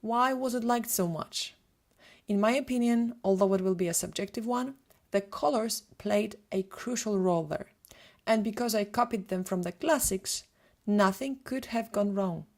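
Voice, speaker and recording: A woman in her thirties with a Polish accent, a photographer presenting a prepared webinar in a steady, read-from-notes way. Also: medium pitch, normal speed. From Poland.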